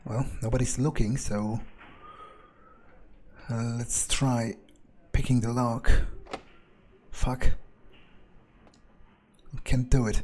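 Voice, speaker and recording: Guy doing in-character let's play, thinking out loud, halting mutter, average pitch, bit of game noise behind. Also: steady tone. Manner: musing, muttering to himself, halting. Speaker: let's player role-playing his game character. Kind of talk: in-character gameplay commentary, thinking aloud.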